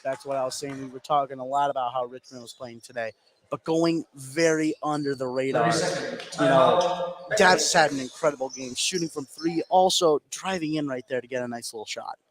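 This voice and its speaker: A man's voice: medium pitch, moderate pace. A man giving conversational color commentary during a live basketball broadcast. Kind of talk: live sports analysis, conversational. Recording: noisy live gym broadcast.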